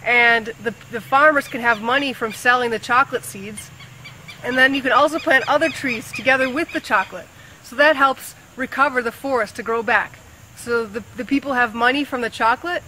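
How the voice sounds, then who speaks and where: Conservationist woman, bright and a touch high, earnest and simple for kids, restarts a lot. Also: a little hiss or hum, poor audio. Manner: earnest, repeats words and restarts while thinking aloud. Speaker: rainforest conservationist answering pupils' questions. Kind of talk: simple explaining to young schoolchildren.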